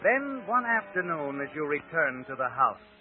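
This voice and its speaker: An actor narrating an old-time radio suspense story in the second person as a knowing, ominous storyteller. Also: male voice, on the high side, sing-song, moderate pace. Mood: sly, insinuating. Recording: old radio show, phone-like, music behind.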